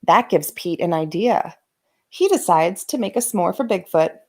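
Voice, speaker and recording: A woman narrating a children's bedtime storybook with gentle, dramatic emphasis for young listeners. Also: fairly low pitch, lively intonation, a little slow. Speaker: host of a bedtime story read-aloud channel.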